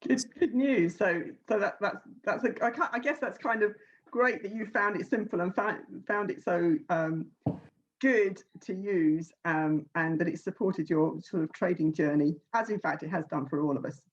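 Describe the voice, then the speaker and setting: A woman's voice: fairly low pitch, normal speed, lo-fi sound. A woman hosting an online workshop over a video call, friendly, with fillers and restarts. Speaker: workshop host.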